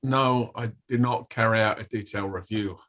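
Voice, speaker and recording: An actor playing a defensive, evasive company safety official testifying at an inquiry in a play reading, formal and guarded. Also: male voice, lowish voice, fairly even intonation, normal speed, a bit bright, lightly acted. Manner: guarded, formal, clipped denials with hesitant hedging. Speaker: actor in an online table read.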